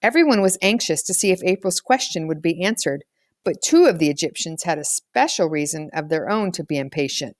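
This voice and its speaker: A woman's voice: lowish voice, lively intonation, brisk, no echo. Woman reading a children's novel, very dry sound. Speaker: audiobook reader. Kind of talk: scripted narration of a children's novel.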